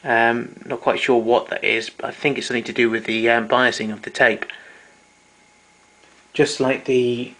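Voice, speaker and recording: Man, even unvaried intonation, hesitant halting delivery, informal technical walkthrough, hobbyist demonstrator. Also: mid-range voice, poor audio. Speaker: vintage tape recorder enthusiast demonstrating equipment. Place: indoors, small domestic room.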